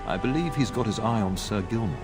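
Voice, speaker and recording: A man's voice: on the low side, animated, fast talker, over music, close to an everyday voice. An actor playing a middle-aged nobleman and father in a fantasy game, speaking in a stately, assured, fatherly way. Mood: explaining. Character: grey-haired noble father, warm, authoritative lord. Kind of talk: scripted fantasy game dialogue.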